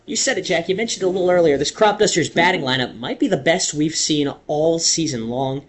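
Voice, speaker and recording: High-pitched young male baseball announcer. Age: young adult. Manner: dramatic, drawn-out calls on big plays. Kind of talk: radio sports commentary.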